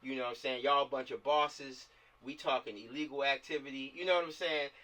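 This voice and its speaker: American man, bright voice, distant video-call mic. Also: medium pitch, steady tone, fairly quick. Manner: repeats himself, often seeks agreement. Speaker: film director organizing a shoot. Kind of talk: casual planning chat on a group video call.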